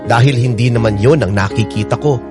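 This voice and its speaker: A man as a heartbroken narrator over loud music. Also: medium pitch, brisk, lightly acted. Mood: wistful, resolute. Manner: earnest, emotive. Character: heartbroken, devoted young man narrating his love story. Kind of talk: dramatic first-person radio drama narration.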